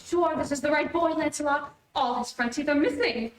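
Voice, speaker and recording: A woman's voice: high voice, normal speed, close to an everyday voice. A woman playing a wry, teasing wife and mother in an old-time radio comedy. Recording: echoey room, distant mic, noisy.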